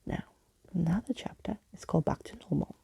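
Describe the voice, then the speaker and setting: A woman reading a storybook as soft ASMR narration, hushed, breathy and often whispering, close to the microphone. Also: on the low side, animated, a little fast. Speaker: ASMR reader.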